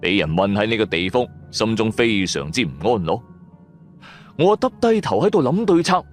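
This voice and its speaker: A man's voice: on the low side, sing-song, moderate pace. A man narrating a first-person horror story for radio in a suspenseful, colloquial manner. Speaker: audio drama narrator. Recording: music under the voice. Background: background music.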